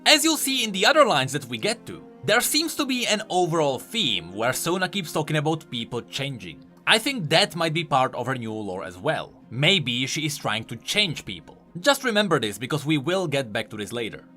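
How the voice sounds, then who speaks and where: A man narrating a scripted explainer as host, with animated, emphatic commentary. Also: on the high side, normal speed, hardly any pauses. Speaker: host of a game lore video. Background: music.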